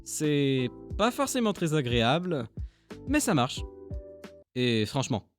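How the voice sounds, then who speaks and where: Casting: male amateur creator, slightly high voice, casual self-deprecating vlog narration with light music behind. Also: very animated, takes it slowly. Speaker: amateur voice dubber and channel creator.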